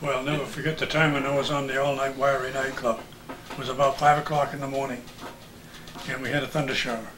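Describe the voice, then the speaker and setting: A man's voice: mid-range voice, level tone, fast, background noise. An older man, a veteran radio broadcaster, casually reminiscing in conversation.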